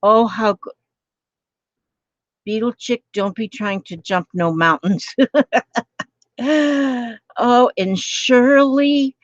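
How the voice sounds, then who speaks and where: Slow, gentle-voiced woman crafter. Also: medium pitch, no echo, lo-fi sound. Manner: slow, soft-spoken, gasping over pretty papers. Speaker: crafter hosting a live stream. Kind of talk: casual live crafting chat with viewers. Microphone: phone.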